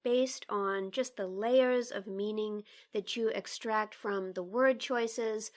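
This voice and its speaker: A woman co-hosting a literary discussion, speaking in a deliberate, articulate, emphatic way. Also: medium pitch, fairly even intonation. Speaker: podcast co-host. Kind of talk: literary discussion and poetry reading.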